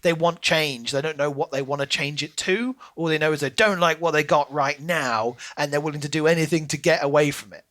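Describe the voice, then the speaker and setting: A man co-hosting a podcast in a casual book discussion, speaking in an animated, emphatic, opinionated way. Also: on the high side, fairly quick, few pauses. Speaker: podcast co-host.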